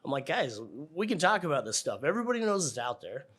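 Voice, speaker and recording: A man's voice: fairly high pitch, very animated, quick pace, a bit bright, breathy edge. Casual middle-aged American man. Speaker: air traffic controller, interview guest. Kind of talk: casual conversational interview answers.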